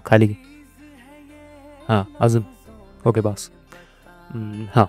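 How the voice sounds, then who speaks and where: A man's voice: fairly low pitch, completely flat delivery, takes it slowly, a little mellow, soft background music. A man playing a cocky, sarcastic wolf who hosts a comedy podcast, mocking and hesitant, mixing in English words. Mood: smug. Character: cocky, sarcastic male wolf podcast host, secretly cowardly. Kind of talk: scripted comedy podcast monologue.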